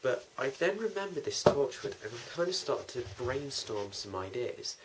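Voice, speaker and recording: A man's voice: mid-range voice, big pitch swings, moderate pace. A young man talking casually and in a rambling, informal way as host of a behind-the-scenes vlog. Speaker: host, writer and producer of a fan audio drama. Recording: noisy amateur recording with clicks.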